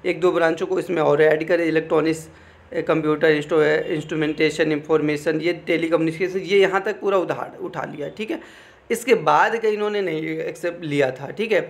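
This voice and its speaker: A man's voice: fairly high pitch, fairly even intonation, a little fast, creaky. A man giving an informal explainer, reading out official text and commenting, often repeating phrases and adding check-in tags. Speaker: exam information presenter.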